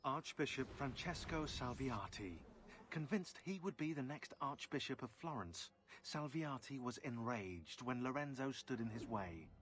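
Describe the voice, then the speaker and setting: An actor narrating a video game briefing in a grave, scripted dramatic style. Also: male voice, medium pitch, animated, a little slow, fairly bright tone. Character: grave male narrator recounting a villain's crimes.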